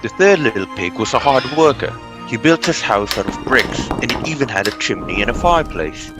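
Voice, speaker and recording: A man's voice: medium pitch, sing-song, fairly quick. A man narrating a storybook tale from a script. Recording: music under the voice.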